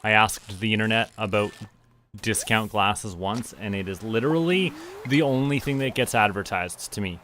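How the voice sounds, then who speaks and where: Bright-voiced man streaming casually. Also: lowish voice, normal speed, slight background noise. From Canada. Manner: talks to himself and viewers while playing. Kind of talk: casual game livestream chat.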